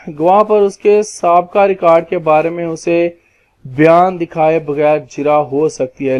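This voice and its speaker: A man with a Pakistani accent explaining legal points as a lawyer, in a formal, deliberate lecture style that sometimes repeats phrases. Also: a little high, a little mellow. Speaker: lawyer giving legal lessons.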